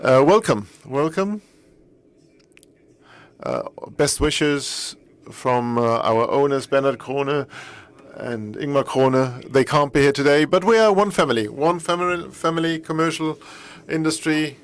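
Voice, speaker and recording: A man's voice: fairly high pitch, unhurried. A middle-aged man with a German accent, a native German speaker, giving a conference keynote in English, earnest and often repeating and rephrasing himself. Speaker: company executive speaking at a press event.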